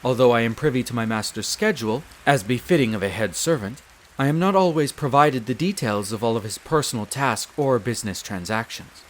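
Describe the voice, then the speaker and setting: Casting: male actor for a devoted wolf butler, head servant of a castle. Formal, polite, measured delivery, mid-range pitch, fantasy audio drama. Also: expressive, slight background noise, lightly acted. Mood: dutiful, composed. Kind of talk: scripted roleplay audio drama.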